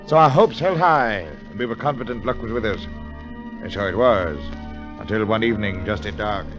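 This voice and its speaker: An actor playing an educated, cautious gentleman adventurer who narrates his expedition in a measured, formal 1940s radio style. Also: lowish voice, natural voice. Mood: grave narration. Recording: muffled 1940s radio broadcast, music behind.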